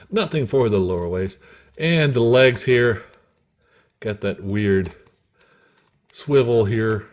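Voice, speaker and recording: A man giving a casual, unhurried product review, talking in short phrases with pauses and repeating himself. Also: on the low side, a little mellow. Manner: unhurried, repetitive, pausing between short phrases. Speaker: toy reviewer, host.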